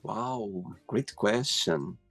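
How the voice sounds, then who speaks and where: Gravelly Brazilian-accented male host. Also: fairly low pitch, huge pitch swings, extremely slow, fairly bright tone, faint music behind. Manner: effusive, many "you know" fillers. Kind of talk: casual interview.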